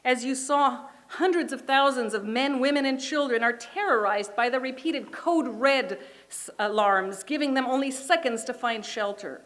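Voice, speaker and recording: Middle-aged female rally speaker, native Hebrew speaker from Israel, higher-pitched voice, emphatic and deliberate prepared oratory. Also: fairly bright tone. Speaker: community organization chair speaking at a rally. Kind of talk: prepared political speech.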